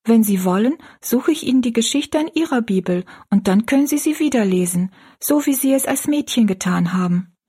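An earnest little girl, as a female narrator puts her on, speaks in a raised, slightly husky voice. She is gentle, polite and sincere, like a pious child in a storybook. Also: fairly high pitch, animated, a little fast, voice pushed up.

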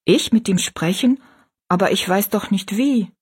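A woman's voice: medium pitch, a little slow, darkish tone, breathy edge. A woman voicing a simple, wondering young kitchen maid in a story, plain and naive. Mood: unsure.

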